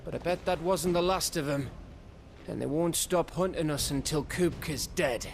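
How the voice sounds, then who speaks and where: An actor playing a blunt, wary comrade in arms in a video game, talking colloquially. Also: male voice, fairly high pitch, normal speed, creaky, slight background noise. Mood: urgent. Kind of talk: dramatic video game acting.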